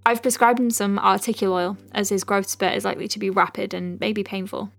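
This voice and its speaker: A woman acts as a conscientious female doctor in an audio drama, recording case notes at a medium pitch. She moves between clinical dictation and gentle, chatty asides, with music underneath. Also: steady tone, a little fast, creaky, no echo, natural voice. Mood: professional, gentle. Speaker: lead character, physician.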